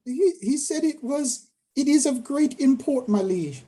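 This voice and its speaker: Court messenger, played by a woman: slow, high, swooping voice, nervous, deferential, halting, period audio play. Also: expressive, mellow. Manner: hesitant, halting. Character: nervous, deferential royal servant bearing a message. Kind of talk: period audio drama acting.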